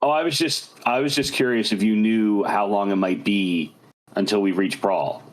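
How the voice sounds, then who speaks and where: A man's voice: lowish voice, normal speed. A man chatting casually as a player in a tabletop role-playing game.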